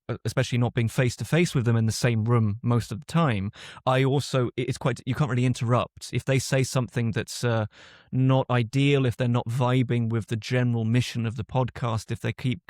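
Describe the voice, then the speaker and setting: A British man speaks as a podcast guest, reflecting carefully and often circling back to restart his thoughts. His voice sits at an ordinary middle pitch. The recording is dry and close, with no room sound. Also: a little mellow, no room sound. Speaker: guest author and linguist. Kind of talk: conversational interview answers.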